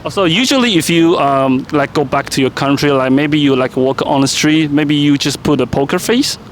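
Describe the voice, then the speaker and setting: A man's voice: on the high side, normal speed, a little hiss or hum. A man interviewing people on the street, friendly and agreeable, in simple English. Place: city street outdoors.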